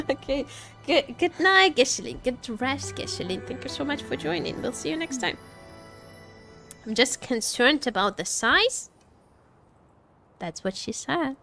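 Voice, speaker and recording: A woman streaming a video game, chatting casually and playfully with her viewers and given to exclaiming and joking. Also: on the high side. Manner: playful, exclaiming, quick to joke. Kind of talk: casual live gameplay chat with viewers. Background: background music.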